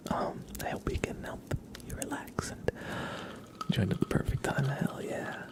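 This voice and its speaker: A man hosting an ASMR livestream, chatting casually and softly to viewers in an unhurried way, close to the microphone. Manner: soft-spoken, mostly whispering, unhurried.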